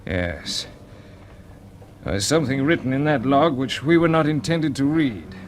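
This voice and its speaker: An actor playing a decisive, urgent spaceship commander in a 1950s radio drama, with a clipped, commanding manner. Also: male voice, mid-range voice, lively intonation, unhurried, faint music behind, natural voice. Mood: grave, uneasy. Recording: noisy vintage 1950s radio recording.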